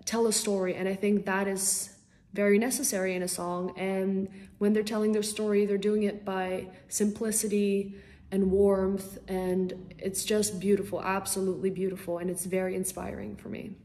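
A young woman from the Netherlands talks about her music over a laptop call from a small room at home. Her voice is mellow and soft, and her answers come out bubbly and eager, dotted with ums. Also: medium pitch, flat delivery, normal speed. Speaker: singer-songwriter guest. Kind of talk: casual interview answers.

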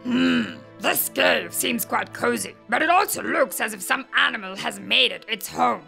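A woman voicing a lazy, foolish, self-pitying male lion in a children's story, with a rough voice and theatrical, grumbling delivery. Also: a little high, expressive, normal speed, sharp tone, soft background music, exaggerated voice. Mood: puzzled, plotting. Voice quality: rough, gravelly.

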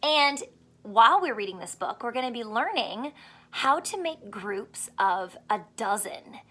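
A young woman teacher reading aloud to small children and asking them simple questions in an animated way. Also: fairly high pitch, measured pace, breathy edge. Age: young adult. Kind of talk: children's read-aloud with a math lesson. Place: small furnished room indoors.

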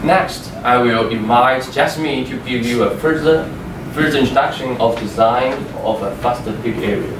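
A young man, a native Chinese speaker, giving a class project presentation, halting and repeating words as he explains slides. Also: mid-range voice. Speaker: student presenter. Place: classroom with a projector screen, indoors. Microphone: far room microphone. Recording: echoey room from far off, noisy.

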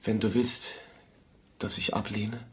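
An actor playing an eager, devoted young architect in a radio play, speaking earnestly and insistently and repeating himself. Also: male voice, on the low side, little pitch movement, slow. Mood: earnest, pleading. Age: young adult. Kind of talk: German radio play acting.

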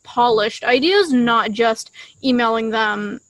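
A woman's voice: fairly high pitch, takes it slowly, fairly bright tone, no echo. A young adult woman chatting casually as a podcast co-host, talking into a desktop microphone outdoors. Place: outdoors in a leafy garden. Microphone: desktop condenser microphone shared between two.

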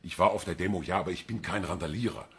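A defensive, low-voiced male suspect. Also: fast, gravelly, slightly rough, exaggerated voice. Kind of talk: German radio crime drama acting.

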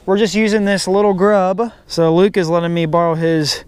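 A man's voice: high voice, level tone, moderate pace, clear, resonant tone, dry recording. A man in his thirties hosting a casual fishing vlog outdoors by a creek, filmed on a head-mounted action camera and talking to viewers. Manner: talks to viewers as "guys". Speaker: fishing channel host.

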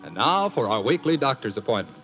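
A man's voice: mid-range voice, big pitch swings, a little fast, loud music behind the voice. A man announcing a 1940s radio show and reading a live commercial in a chummy, upbeat sales patter. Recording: dull 1940s radio broadcast.

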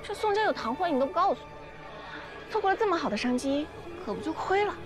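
A young actress playing a lively, scheming peasant girl in a period drama, playful and coy in her teasing. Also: very high-pitched, quick pace. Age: young adult. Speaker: lead actress. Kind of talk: television period drama acting. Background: music score.

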